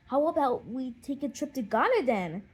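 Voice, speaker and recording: Woman as young male eagle, storybook hero, sincere, thoughtful, slightly raspy. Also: sits high, big pitch swings, normal speed, heavily acted. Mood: earnest. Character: earnest, big young golden eagle, male. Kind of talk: audiobook character voice.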